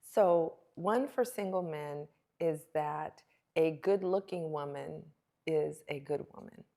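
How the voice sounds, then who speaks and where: A woman's voice: low-pitched, takes it slowly, creaky, mellow. A woman speaking as a guest expert in an interview, teaching emphatically with rhetorical questions and acted-out remarks. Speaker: guest relationship expert and author. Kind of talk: animated interview talk, teaching with role-played questions.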